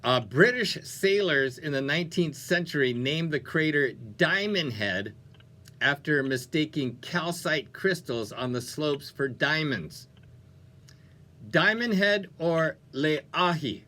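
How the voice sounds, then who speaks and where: Bright, upbeat male radio DJ. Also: a little high, takes it slowly. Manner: playful, animated, conversational. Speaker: radio DJ, show host. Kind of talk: upbeat music radio DJ patter. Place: radio studio.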